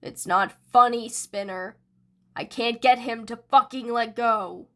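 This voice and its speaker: A woman's voice: fairly high pitch, measured pace, bright, forceful tone. Woman doing a sneering, surly young villain man. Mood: irritated. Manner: sneering, cursing.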